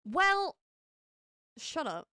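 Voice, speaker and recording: A woman's voice: high-pitched, big pitch swings, drawn-out words, sharp tone, dry recording, studio quality. A young woman from the UK with a light British accent that leans a bit American, chatting casually and playfully with her stream viewers. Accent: light British, partly American-sounding. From United Kingdom. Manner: bubbly, giggly, playfully sassy. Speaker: streamer, VTuber artist. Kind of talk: casual live-stream chat reading viewer messages.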